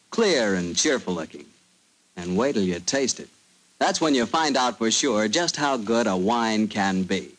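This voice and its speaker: Male 1940s radio announcer, folksy salesman delivery, lively. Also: mid-range voice, rough recording.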